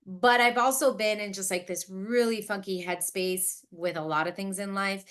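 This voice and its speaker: A woman in her late thirties with a bright, forward voice and a middling pitch. She talks like a podcast host in casual conversation, reflective and wordy, sorting out her thoughts as she goes. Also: steady tone, normal speed. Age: late 30s. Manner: self-reflective, thinking out loud, wordy.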